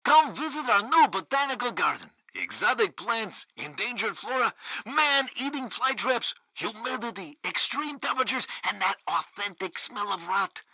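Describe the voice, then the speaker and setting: An actor playing a bumbling, pompous government propagandist who co-hosts a comic radio news show, excitable and theatrical. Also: male voice, high-pitched, moderate pace, dry recording, full character voice, poor audio. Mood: salesy, upbeat. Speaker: radio co-host and announcer.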